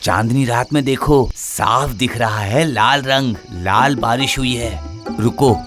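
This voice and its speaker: A man's voice: medium pitch, slow pace, fairly bright tone, faint music behind. A man playing a sly, coaxing village farmer husband in a cartoon story. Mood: excited, straining. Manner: coaxing, exclamatory, wily.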